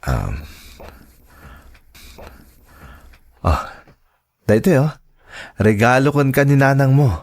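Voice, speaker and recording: A man with an Ilocano accent acting a gentle, devoted father in an audio drama, tender and reassuring. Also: medium pitch, big pitch swings, a little slow. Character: gentle, devoted father of young children, wife working abroad.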